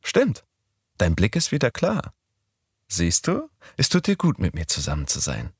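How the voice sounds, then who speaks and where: A man's voice: a little slow, breathy edge, dry recording, studio quality, full character voice. A man voicing a playful teasing young woman. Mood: cheerful. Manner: raised pitch, lively teasing lilt. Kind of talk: audiobook character voice.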